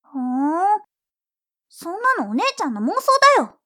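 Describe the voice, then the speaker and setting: A woman playing a naive, excitable little sister in an audio drama, with a childlike, innocent manner. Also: high voice, normal speed. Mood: dismissive. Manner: childlike, innocent, readily protesting. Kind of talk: Japanese audio drama acting.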